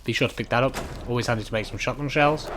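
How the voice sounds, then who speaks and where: A man giving unhurried, instructional commentary over a video game walkthrough in a casual way. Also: medium pitch, heavy background noise. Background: video game ambience.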